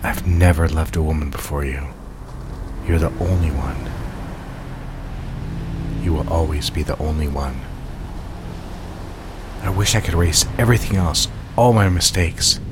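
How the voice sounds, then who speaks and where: An actor playing a besotted, playful newlywed husband in a romantic audio drama, suave and teasing yet tender. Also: male voice, a bass voice, unhurried, pauses often, very noisy. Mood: earnest, tender. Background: loud music.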